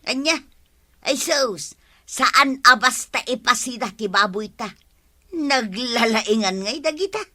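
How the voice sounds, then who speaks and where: A woman's voice: on the high side, lively intonation, slow, bright, forceful tone, exaggerated voice. A woman with an Ilocano accent playing a stingy, grumbling village wife in a radio comedy drama, exclaiming and complaining. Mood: indignant.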